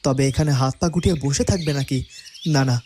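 Brief: male actor as a young cartoon prince, insistent, rapid delivery, soft mellow tone. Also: on the high side, a little hiss or hum, close to an everyday voice. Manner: firm and insistent. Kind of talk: cartoon dubbing.

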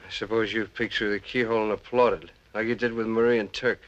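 A man's voice: lowish voice, flat delivery, brisk, fairly bright tone, muffled recording, natural voice. An actor playing a bitter, drunken middle-aged husband who sneers and accuses his wife in a film scene. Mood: sneering. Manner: sneering, accusing, rising to rage.

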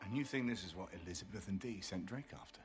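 An actor playing a sardonic, blunt, middle-aged tough adventurer in a video game cutscene. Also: male voice, deep voice, fast talker, music in the background, natural voice. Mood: sceptical.

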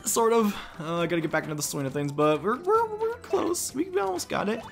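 A man's voice: sits high, big pitch swings, a little fast, gravelly, soft background music. A man giving casual, rambling commentary while playing a game. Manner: mumbly and rambling. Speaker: let's play commentator.

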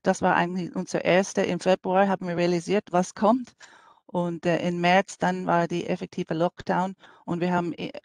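American woman speaking halting German. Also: lowish voice, level tone, creaky, darkish tone, no room sound. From New York City. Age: middle-aged. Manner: hesitant, many fillers, non-native German phrasing. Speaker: language school director. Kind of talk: online conference presentation.

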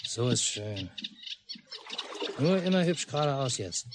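An actor playing a gruff, bossy father and angler in a 1950s German radio play, giving terse, curt commands. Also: mid-range voice, big pitch swings, slow. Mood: commanding. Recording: noisy mono 1950s radio recording.

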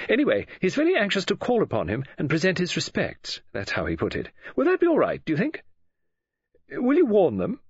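A woman's voice: fairly low pitch, breathy edge, dry recording, highs cut off, pitched above the performer's own voice, full character voice. A man voicing a chatty, flustered middle-aged English woman novelist in an audiobook, with a gushing, breezy manner.

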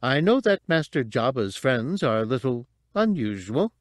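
A man's voice: mid-range voice, very animated, moderate pace, no room sound, clean studio sound, close to an everyday voice. A man doing a melodious, fussy protocol droid. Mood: prim, polite. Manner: prim and chatty.

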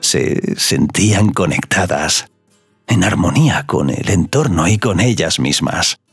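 A man's voice: deep voice, lively intonation, measured pace, creaky, slightly rough. A man narrating a scripted romantic audio story as a storyteller. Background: music.

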